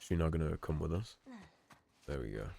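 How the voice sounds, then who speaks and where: A man giving relaxed, musing commentary over a game playthrough, his voice slightly hoarse. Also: rumbling low, a little fast, slight background noise. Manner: relaxed, musing, reads in-game notes aloud. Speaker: game streamer. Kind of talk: let's play commentary.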